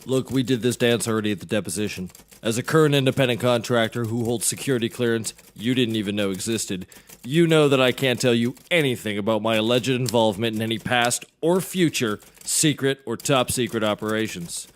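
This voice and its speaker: A man's voice: mid-range voice, brisk, a little hiss or hum, lightly acted. A man playing a cocky, sleazy private investigator in a scripted comedy, with a brash, self-important manner. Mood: smug, evasive.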